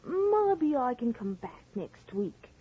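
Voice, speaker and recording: An actress playing a deferential, superstitious village housekeeper in a vintage radio drama, stubborn and fearful. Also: female voice, high-pitched, very animated, slow, breathy edge, full character voice. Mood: reluctant, firm. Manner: respectful, reluctant servant's speech. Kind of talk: 1950s radio drama character acting. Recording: muffled, noisy old radio recording.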